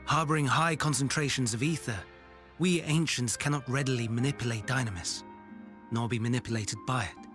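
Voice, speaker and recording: A man acting as an ancient, learned figure in a fantasy game. He explains things gravely and formally, in measured phrases at a middling pitch. Soft music plays underneath. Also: lightly acted. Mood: grave, thoughtful. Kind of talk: video game cutscene acting.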